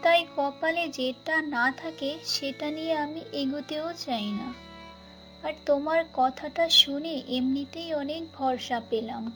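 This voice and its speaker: Woman acting a high-pitched plaintive young wife. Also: measured pace, a bit bright, poor audio. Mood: plaintive, resigned. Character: wronged young wife, gentle, resigned, trusting. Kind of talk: dramatised cartoon fairy-tale dialogue. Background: background music.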